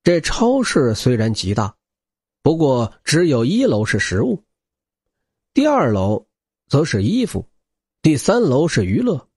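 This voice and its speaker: A man's voice: mid-range voice, wildly swooping pitch, measured pace, pauses often, a little mellow, husky, no room sound, clean studio sound. A man reading a novel aloud as a dramatic scripted narration. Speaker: audiobook narrator.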